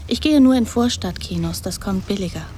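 Actress doing naive dreamy poor girl in a radio play, soft mellow voice, earnest n artless, bit of ambient noise behind. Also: mid-range voice, expressive, a little fast, natural voice. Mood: dreamy, eager. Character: naive, dreamy, poor young woman, eager and literal-minded. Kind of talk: radio drama acting. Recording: studio radio drama with background ambience.

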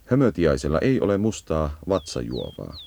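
A man giving a measured, instructive scripted narration for an educational nature guide. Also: a bass voice, huge pitch swings, creaky, mellow.

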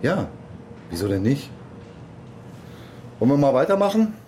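A man's voice: mid-range voice, very animated, moderate pace, mellow, a little hiss or hum, lightly acted. An actor playing an eager, naive new male employee in a radio drama, chatty and cheerful. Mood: upbeat. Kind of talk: naturalistic radio drama acting.

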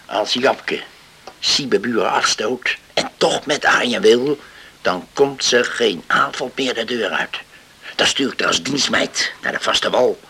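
An actor in a radio play playing a stern, stubborn middle-aged father with a rough voice and a curt, deliberate, unyielding manner. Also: mid-range voice, bright, forceful tone, exaggerated voice. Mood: scornful, threatening. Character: stern, stubborn island father guarding his daughter.

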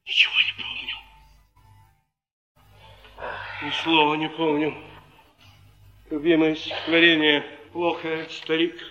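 A man's voice: on the high side, monotone, normal speed, bright, forceful tone, soft background music. An actor playing a ruined alcoholic male former actor, melancholy, grandiose and declamatory in stage style. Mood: despairing. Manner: declamatory, mournful. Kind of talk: mid-century theatrical stage acting. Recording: muffled echoey old theatre recording.

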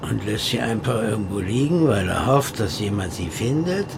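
A brash, blunt friend. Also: male voice, fairly low pitch, expressive, moderate pace, gravelly, noisy background. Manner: blunt, coarse and mocking. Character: brash male friend, blunt and rough-talking. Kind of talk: audio drama acting.

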